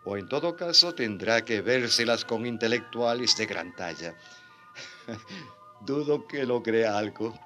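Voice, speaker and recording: A smug colonial bishop in a radio drama. His voice is bright and highly varied in melody. He speaks slowly and deliberately, with sly condescension. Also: lively intonation, faint music behind. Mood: mock pity. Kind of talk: Spanish-language radio drama acting.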